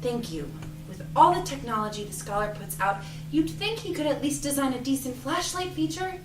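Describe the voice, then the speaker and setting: In a roomy, distant recording with music underneath, a woman acts a feisty young woman for an audio drama. She is exasperated and sardonic yet protective, in a high, sharp voice. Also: expressive, normal speed. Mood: irritated. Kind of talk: acted reading of an audio drama script.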